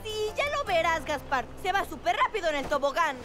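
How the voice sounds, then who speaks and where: Little boy rabbit, very high childlike voice, Latin American accent, eager, easily discouraged, cartoon, music underneath. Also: animated, brisk. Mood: excited. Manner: childlike, exclamatory. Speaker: cartoon character.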